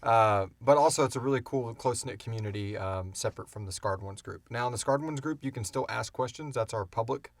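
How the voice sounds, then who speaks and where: A man's voice: mid-range voice, brisk, hardly any pauses, no echo. A man hosting a casual unscripted podcast Q and A, talking informally and swearing freely. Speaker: podcast host and producer.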